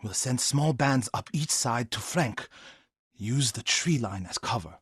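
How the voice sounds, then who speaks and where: An actor playing a grieving, vengeful warrior monk in feudal Japan for a video game, with a husky, solemn, intense and formal delivery. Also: male voice, medium pitch, animated, unhurried, a bit bright, no echo, clean studio sound, exaggerated voice. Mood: urgent, commanding. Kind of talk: dramatic video game voice acting.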